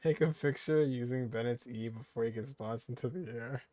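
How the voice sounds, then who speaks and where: Man, average pitch, casual gaming commentary, streamer delivery, faint game music in background. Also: normal speed, dark tone.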